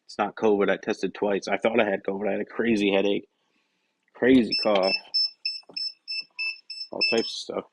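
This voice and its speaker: A young man chatting casually on a work-shop live stream, rambling and correcting himself, his voice hoarse and scratchy from a cough. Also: fairly low pitch, level tone, normal speed, long pauses. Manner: rambling, self-correcting, soft-spoken asides. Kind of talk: casual live-stream chat while working.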